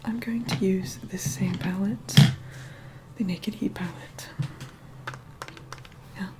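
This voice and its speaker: A snobbish young vampire woman acted out by a woman speaks in a hushed, half-whispered ASMR voice. She is bossy and sarcastic, grudgingly helping with a makeover at a medium pitch. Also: steady tone, slow pace, lightly acted. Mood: snide, grudging. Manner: sarcastic, condescending, bossy, sighing.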